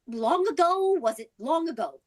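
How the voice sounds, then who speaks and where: Insistent, worried wife played by a woman, old-time radio acting; high voice with big swings. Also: lively intonation, normal speed. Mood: anxious. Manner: urgent, breathless pleading. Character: anxious, pleading, insistent wife hunting for answers. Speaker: actor in radio drama. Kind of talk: vintage radio drama acting.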